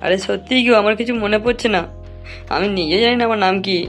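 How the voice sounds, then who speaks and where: A woman voicing a naive young man with no memory in a cartoon, halting and bewildered, full of questions. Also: mid-range voice, soft background music, close to an everyday voice. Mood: dazed, bewildered. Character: dazed, naive young man who lost his memory. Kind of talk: cartoon dialogue.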